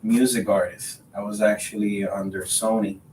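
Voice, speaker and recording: A man's voice: fairly low pitch, flat delivery, normal speed, darkish tone. A man, a Spanish speaker, answers casually as a podcast guest. He repeats words as he goes. The sound is very roomy and a bit far from the mic, with some faint noise. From Dominican Republic. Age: 30s. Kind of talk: casual interview conversation.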